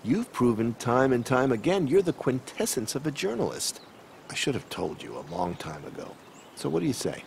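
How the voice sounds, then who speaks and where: A man's voice: mid-range voice, sing-song, moderate pace, creaky, a little mellow, a little hiss or hum. An actor playing a kindly, melancholy older newspaperman in a video game, wistful and slightly literary in his phrasing. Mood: gentle, wistful. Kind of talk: video game cinematic dialogue.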